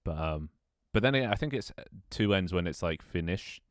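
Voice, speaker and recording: A man's voice: low-pitched, expressive, normal speed, no echo, studio quality. A young man streaming a game, chatting casually with viewers and talking to himself as he sets things up. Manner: rambling, self-talk with frequent restarts and laughs. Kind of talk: casual live-stream chat while gaming.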